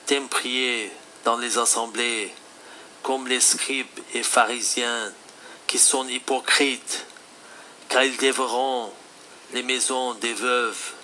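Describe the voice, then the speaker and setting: A man's voice: medium pitch, bright, forceful tone, a little hiss or hum. A man playing a stern, fatherly Jesus, teaching solemnly in a scripted religious phone-call sketch. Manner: solemn, admonishing, deliberate.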